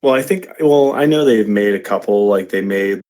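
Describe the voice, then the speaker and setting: A man with a fairly low voice co-hosts a podcast in casual chat. He drops quick jokes and teasing asides. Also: darkish tone. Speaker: podcast co-host.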